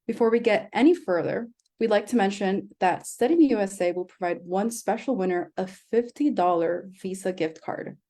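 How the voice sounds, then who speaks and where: Mellow-voiced woman moderating a webinar. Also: mid-range voice, moderate pace, few pauses. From El Salvador. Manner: upbeat, scripted introductions. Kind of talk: live webinar hosting.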